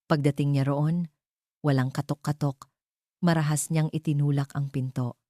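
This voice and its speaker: A woman's voice: low-pitched, fairly even intonation, moderate pace, dark tone, no room sound, clean studio sound. A woman reading a scripted dramatic story as its narrator.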